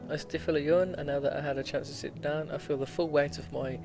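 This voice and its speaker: A man's voice: a little high, fairly even intonation, fast talker, vocal fry. A male game streamer reading a visual novel aloud, stumbling over the text and dropping in casual, joking asides. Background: game music.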